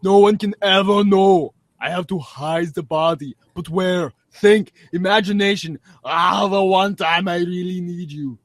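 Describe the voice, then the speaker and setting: A man's voice: high voice, a little slow, few pauses, no echo. A man voicing a panicked, guilty puppet dog in a comic skit, melodramatic and fretful as he thinks aloud.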